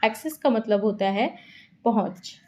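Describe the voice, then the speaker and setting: A woman teaching English vocabulary in Hindi, repeating each word and example sentence slowly to explain it. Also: medium pitch, mellow. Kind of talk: slow explanatory lesson.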